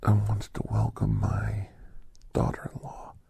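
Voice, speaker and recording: A man voicing a kindly, reflective older doctor in soft, half-whispered ASMR storytelling, with breathy, halting short phrases. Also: fairly low pitch, monotone, exaggerated voice. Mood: hushed, reflective. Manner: unhurried, pausing between short phrases. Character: older doctor recounting his gentle alien encounters, reflective, kindly.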